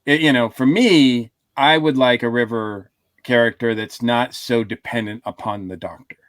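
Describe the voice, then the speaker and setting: A man's voice: mid-range voice, normal speed, fairly bright tone, no echo. A man co-hosting a casual podcast discussion, thinking aloud with many fillers. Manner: thoughtful, hedging with fillers. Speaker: podcast co-host.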